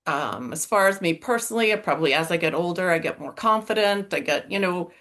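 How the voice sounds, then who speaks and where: Woman, middle-aged, American-sounding accent, lowish voice, halting, lots of fillers, video call. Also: lively intonation, fairly bright tone. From Northern Ireland. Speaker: coaching client. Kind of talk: candid interview.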